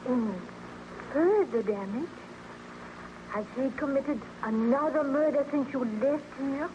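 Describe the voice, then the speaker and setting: Devoted young woman, fairly high voice, anxious, earnest, melodramatic, old radio play, clearly noisy recording. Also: measured pace, highs cut off. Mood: alarmed, pleading. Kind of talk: old-time radio drama acting.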